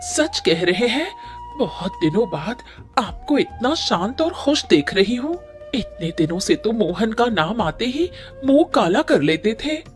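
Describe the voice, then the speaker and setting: A woman's voice: on the high side, big pitch swings, unhurried, soft background music. A woman voicing a caring village wife. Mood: encouraging. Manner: gentle, encouraging. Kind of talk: cartoon story dub.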